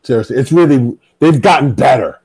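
A middle-aged man talks through an earbud headset on a video call, and his audio is dry and close. His pitch sits in a middle range. He is eager and halting, stacking ums and restarts as he builds his point. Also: animated, darkish tone, husky, no room sound. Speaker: co-host. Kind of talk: casual movie-ranking chat. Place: bright room at home.

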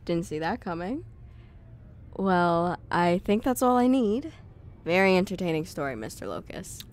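A woman's voice: lowish voice, sing-song, unhurried. A woman acting in an audio drama as a composed, guarded but curious journalist with a dry, understated manner. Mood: wry, brisk. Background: music.

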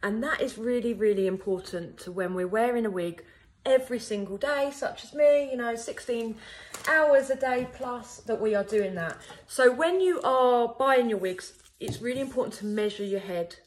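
A woman's voice: a little high, moderate pace, low-quality recording. A woman in her thirties, a wig salon owner, chatting casually and upbeat to a phone camera in her salon. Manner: chatty and upbeat, frequent ums. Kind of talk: casual how-to video. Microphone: phone camera at arm's length.

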